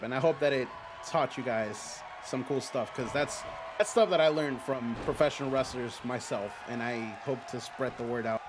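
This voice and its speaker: A man whose first language is Spanish, hosting a live stream and commentating a simulated wrestling game in an excitable, chatty way. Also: mid-range voice. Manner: excitable, chatty, reads viewer comments aloud. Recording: noisy background.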